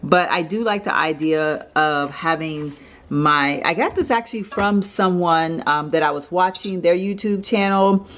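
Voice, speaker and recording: Raspy low-voiced woman rambling in a kitchen. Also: measured pace, fairly bright tone. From Texas. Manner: rambling, chatty, many fillers and self-corrections. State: raspy from allergies. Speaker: home organizing vlogger. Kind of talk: casual chatty home vlog. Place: home kitchen, indoors. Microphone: handheld camera mic, filming off screen.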